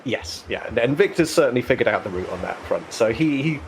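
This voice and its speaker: A man as game master, explaining rules and narrating conversationally, pausing to think aloud. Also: mid-range voice, animated, gravelly, a little hiss or hum, rough recording.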